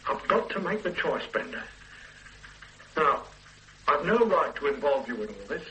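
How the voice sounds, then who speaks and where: An actor playing a troubled older man who is about to become a grandfather, speaking in a halting, subdued way in a radio drama. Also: a little high, sing-song, a bit bright, recorded from a distance, a little hiss or hum, poor audio. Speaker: widowed-age office man becoming a grandfather. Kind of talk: 1980s radio drama acting.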